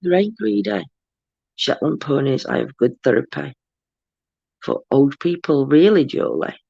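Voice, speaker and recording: Chatty man with a gravelly voice. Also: on the high side, expressive, unhurried, warm tone, dry recording. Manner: rambling, chatty, lots of fillers and "do you know". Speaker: host. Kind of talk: late-night live chat reading viewer comments. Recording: dull, dry lo-fi sound.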